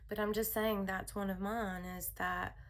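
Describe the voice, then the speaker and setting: A woman hosting a spiritual wellness talk, speaking in a calm, soothing voice with a rambling manner and frequent laughs. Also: mid-range voice, unhurried. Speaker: wellness channel host. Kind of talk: casual spiritual talk to viewers.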